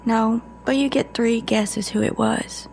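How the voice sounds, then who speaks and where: A woman acting as a grieving female agent in an audio drama, her voice fairly high with a heavy creak. She confides haltingly and guardedly, breaking off mid-sentence, over faint background noise. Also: fairly even intonation, darkish tone. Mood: haunted, hushed.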